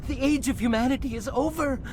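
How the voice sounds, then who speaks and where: Acted deranged male scientist, very high voice, ominous, portentous, video game drama, background rumble. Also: brisk, lightly acted. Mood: fatalistic raving.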